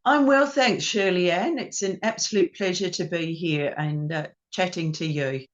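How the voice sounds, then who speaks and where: A woman's voice: fairly low pitch, normal speed, muffled recording. A woman chats as a guest over a video call from her home music studio. She is friendly and loose, with plenty of ums as she goes. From New Zealand. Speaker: ukulele teacher and performer, guest. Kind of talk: casual interview chat.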